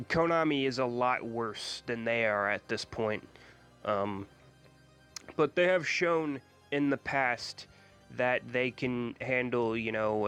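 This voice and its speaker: A man's voice: medium pitch, a bit bright, smooth. Stuffy-nosed man, blunt and swearing. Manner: blunt, swears freely when ranting; frequent ums and uhs. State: stuffy nose from a cold. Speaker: gaming YouTuber. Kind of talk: casual opinion vlog.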